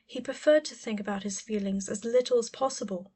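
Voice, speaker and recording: A woman's voice: fairly high pitch, brisk, dark tone, breathy edge. Woman narrating an audiobook. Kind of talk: scripted story narration.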